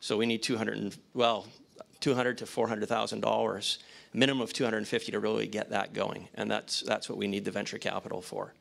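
A man pitching his startup, answering panel questions on a handheld mic in a conference room, rambling with frequent restarts. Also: little pitch movement, a little fast, creaky.

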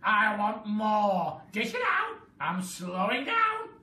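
A woman voicing a wild old grandmother in a storybook, crying out loudly in drawn-out, high shrieks. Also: huge pitch swings, full character voice. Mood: gleeful, demanding. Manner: shrieking, loud, drawn-out cries. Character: wild, gleeful old grandmother, greedy for more. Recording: roomy, distant mic, faint hiss.

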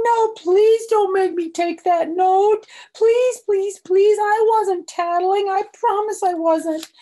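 A shrill pleading little tattletale girl. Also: dark tone. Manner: shrill, high, begging. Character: whiny tattling little schoolgirl, panicky and pleading.

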